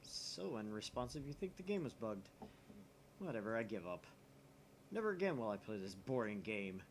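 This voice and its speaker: Man reading expressively, lively pitch swings. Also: normal speed, slight background noise. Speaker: gaming channel host. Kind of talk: expressive visual novel read-aloud.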